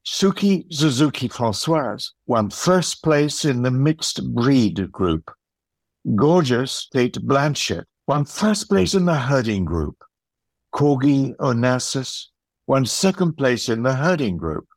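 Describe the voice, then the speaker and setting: A man narrates an audio play at a slow, measured pace. The recording is dry and close, and his pitch sits in an ordinary middle range. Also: sing-song, no echo.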